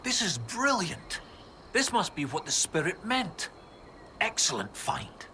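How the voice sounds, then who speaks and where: Excitable, animated, high-voiced scholar. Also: male voice, slow pace, a little hiss or hum, exaggerated voice. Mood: delighted. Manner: scholarly, animated, precise wording. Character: excitable, bookish male scholar and historian, eager about discoveries. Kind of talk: video game dialogue acting.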